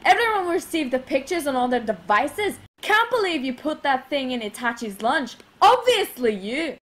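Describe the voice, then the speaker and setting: A woman's voice: sits high, big pitch swings, normal speed, fairly bright tone, slight background noise. A woman voicing a bossy, exasperated young female in a cartoon parody dub, haughty and scolding. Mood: scornful.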